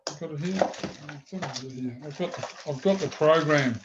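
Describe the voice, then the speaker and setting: A man's voice: fairly high pitch, fairly quick, highs cut off, background noise. An older man with a big voice, telling rambling stories in an interview. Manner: rambling anecdotes with many restarts. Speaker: former goalkeeper, guest. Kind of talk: storytelling interview.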